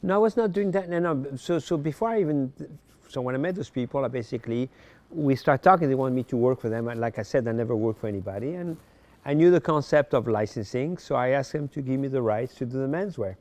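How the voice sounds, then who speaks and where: Older man, halting storyteller. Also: mid-range voice, lively intonation, darkish tone. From Morocco, raised in France. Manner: halting, repeats words as he searches for them. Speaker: podcast guest, fashion designer. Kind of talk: casual interview storytelling.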